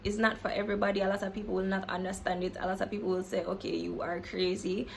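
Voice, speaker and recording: A woman's voice: medium pitch, level tone, fast, creaky, a little hiss or hum. A young woman in her twenties talking casually and emphatically to her viewers about self-belief, repeating herself and saying "literally" and "like" often. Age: young adult. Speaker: self-improvement vlogger. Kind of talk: casual motivational talk to camera.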